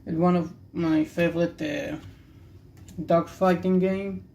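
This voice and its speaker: Casting: young Israeli man, native Hebrew speaker, gravelly fry, unhurried halting delivery, candid rambling hobby-video host. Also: fairly high pitch, fairly even intonation, a little mellow. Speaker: card-opening video host. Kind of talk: casual rambling commentary while opening cards.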